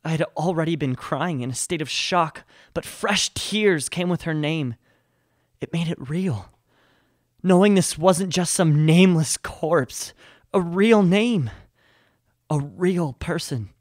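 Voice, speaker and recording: A man's voice: fairly high pitch, slow, no echo, clean studio sound. A man playing a shaken survivor, narrating an audio drama in the first person with sombre, weighty phrasing. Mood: pained, sorrowful. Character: shaken, grieving male survivor narrating a nightmare.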